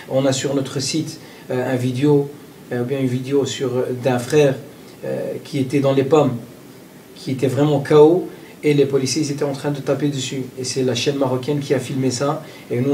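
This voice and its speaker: Man from Belgium, interview archive clip, halting and repetitive, dark tone, slightly off-mic. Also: mid-range voice, far from the mic, slight background noise. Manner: halting, many fillers and repeated words. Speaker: interviewee, group spokesman.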